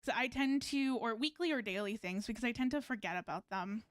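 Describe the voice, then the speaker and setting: A woman's voice: on the high side, fairly quick, a little hiss or hum. A woman chatting casually on a game livestream in a rambling, self-correcting way. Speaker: VTuber game streamer. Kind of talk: casual livestream chat while gaming.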